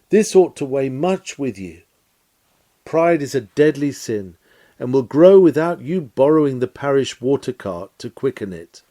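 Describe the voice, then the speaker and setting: A man reading a scripted nineteenth-century sermon lecture aloud, with measured, emphatic oratorical phrasing and formal diction. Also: medium pitch, mellow.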